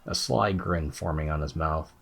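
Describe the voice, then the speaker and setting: A man's voice: very deep voice, normal speed, creaky, a bit bright, husky. A man giving a scripted narration of a fantasy audiobook as its narrator.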